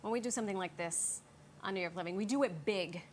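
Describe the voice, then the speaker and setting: A woman's voice: mid-range voice, fast talker, slight background noise. A woman co-hosting a TV talk show in a studio, chatty and giggly. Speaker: TV show co-host.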